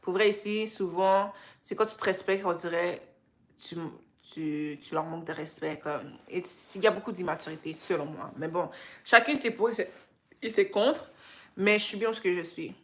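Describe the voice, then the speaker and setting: Tired, low-voiced Québécois woman chatting. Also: moderate pace. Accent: Québécois French. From Canada. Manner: relaxed, mixes in English phrases. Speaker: livestream host. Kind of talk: casual livestream chat with viewers.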